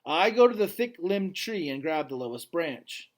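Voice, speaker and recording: A man voicing a gentle, wistful and earnest adult gorilla from a children's storybook, with hushed, dramatic phrasing. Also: on the high side, big pitch swings, moderate pace. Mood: calm, reflective. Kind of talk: children's novel read aloud, first person.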